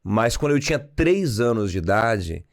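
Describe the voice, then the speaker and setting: A man from Salvador, Brazil, a pastor and podcast guest, telling his testimony calmly and earnestly in a Bahian accent. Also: on the low side, moderate pace, dry recording, studio quality. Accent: Bahian Brazilian Portuguese. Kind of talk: personal testimony in conversation.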